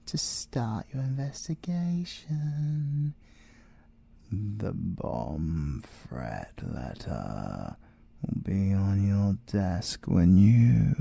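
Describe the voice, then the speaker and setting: A British man playing a possessive, scheming siren in an ASMR audio roleplay, seductive and theatrical, with drawn-out words and whispers. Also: fairly low pitch, vocal fry, mellow, breathy edge, heavily acted. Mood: seductive, sinister. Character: possessive, scheming male siren, obsessive lover and villain.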